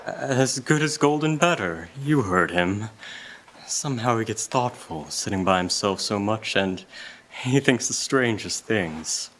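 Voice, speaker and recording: A man playing a gentle, doting, poor Victorian father in a live radio play, with a tender, halting delivery. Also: on the low side, expressive. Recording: noisy live stage recording with clicks.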